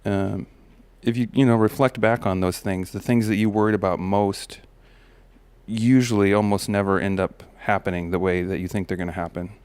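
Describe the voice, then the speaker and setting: Man, low steady voice, smooth with slight gravel, reflective host talking, dry close sound. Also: normal speed, no room sound. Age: adult parent of a daughter. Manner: relaxed, musing, many fillers and restarts. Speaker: podcast host. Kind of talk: reflective personal monologue.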